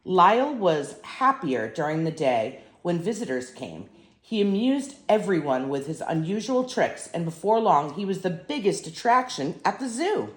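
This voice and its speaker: An older woman reads a picture book to children with animated, emphatic expression. Her voice sits a little low and is heard in a roomy, echoing space. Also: normal speed. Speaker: grandmother reading stories. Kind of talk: storybook read-aloud for children.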